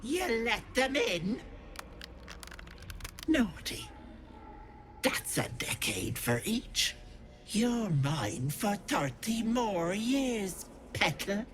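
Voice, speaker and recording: A wicked old hag from a fantasy video game, savours each word slowly. The voice swoops with mock sweetness and gloating cruelty over faint music. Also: female voice, medium pitch, big pitch swings. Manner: mock-sweet, taunting, relishing. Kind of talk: dramatic video game acting.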